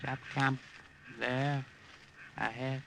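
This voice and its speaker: An actor playing a watchful male jungle scout who speaks hushed, halting broken English in an old-time radio drama. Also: medium pitch, flat delivery, gravelly. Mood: hushed, wary. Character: terse, watchful native jungle scout in Burma. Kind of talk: 1940s-50s radio drama acting. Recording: noisy, distant old radio recording.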